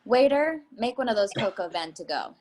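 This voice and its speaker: A woman's voice: mid-range voice, big pitch swings, normal speed, a little mellow, slight background noise, lightly acted. A woman acting a suspicious, snobbish middle-aged mother in a play reading, cutting and condescending. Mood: icy hostile.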